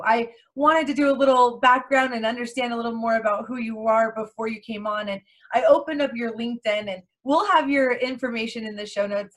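A woman hosting an interview show, welcoming her guest in an enthusiastic, effusive manner. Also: a little high. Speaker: podcast host.

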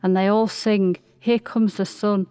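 A woman's voice: mid-range voice, flat delivery, measured pace. A woman reading scripted narration for a radio play.

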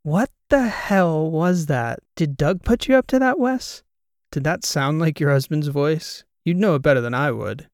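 A man's voice: a little high, moderate pace, gravelly, hardly any pauses, no echo. A man voicing a skeptical, exasperated woman podcast co-host in an audiobook, with a dry, sarcastic manner. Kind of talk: audiobook character dialogue.

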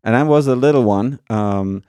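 A man from Argentina, a priest, telling his story in a thoughtful, conversational way, pausing with fillers and often checking with "right?". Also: mid-range voice, darkish tone, clean tone, no echo, studio quality. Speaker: priest guest.

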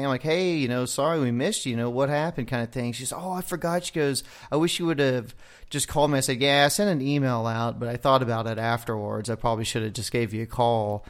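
A man's voice: mid-range voice, steady tone, quick pace, clear, resonant tone. Profane rambling man hosting a podcast. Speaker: podcast host. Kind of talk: casual podcast chat.

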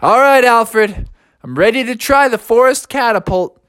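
A woman's voice: mid-range voice, very animated, unhurried, dry recording, studio quality, voice pushed up. A woman voicing a grumbly but game young male bear in a children's story, easily exasperated. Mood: reluctant.

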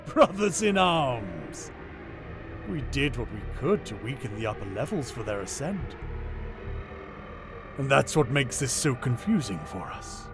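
An actor playing a smug, menacing traitor soldier in an audio drama, condescending and deliberate. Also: male voice, medium pitch, sing-song, over music. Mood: smug menace.

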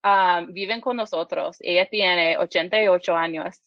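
A woman in her thirties from Michigan, a podcast guest speaking halting learner's Spanish with English as her first language, full of restarts and fillers. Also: lowish voice, fairly even intonation, dry recording, dull audio. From Michigan, USA.

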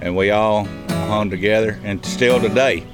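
A man's voice: lowish voice, measured pace, music in the background. A man in his mid-seventies, a local resident, recalling his town in a plain, folksy way in an interview. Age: 70s. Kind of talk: casual interview.

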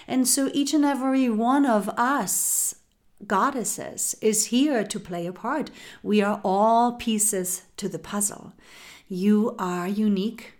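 A woman from Germany, hosting a spiritual podcast in a soft-spoken way and addressing her listeners as sisters. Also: mid-range voice. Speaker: podcast host.

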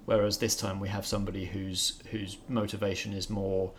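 Low-voiced man chatting about films. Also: flat delivery, moderate pace, a little hiss or hum. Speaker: host and film reviewer. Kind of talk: casual film review chat.

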